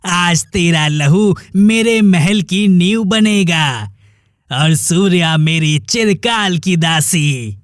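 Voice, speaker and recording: A cruel sorcerer's spirit from a cartoon, acted by a man, speaks in a high male voice with very slow, grandiose delivery. The sound is dry and close. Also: animated, a bit bright, no room sound, heavily acted. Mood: boastful, menacing. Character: evil male sorcerer spirit, boastful, cruel darkness incarnate. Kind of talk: cartoon villain acting.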